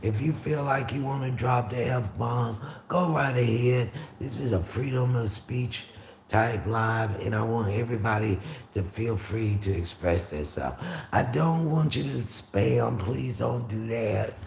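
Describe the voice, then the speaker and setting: A raspy-voiced man from Florida hosting a casual livestream, talking easily and reading out viewer comments. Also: medium pitch, a little slow, a little mellow. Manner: rambling, easygoing, frequent fillers and restarts. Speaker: livestream host. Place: room at home with the windows open. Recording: roomy echo with faint street noise.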